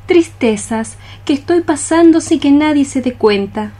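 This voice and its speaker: An actress playing a lovesick young maiden cockroach, lyrical and declamatory in a poetic radio play. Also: female voice, high-pitched, expressive, measured pace, warm tone. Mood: melancholy. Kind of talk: poetic radio theatre acting.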